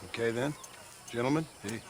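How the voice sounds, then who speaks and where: An actor playing a stoic, world-weary former outlaw in a western video game, dry and laconic, with an American accent. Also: male voice, mid-range voice, brisk, slight background noise, natural voice. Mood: dry, guarded.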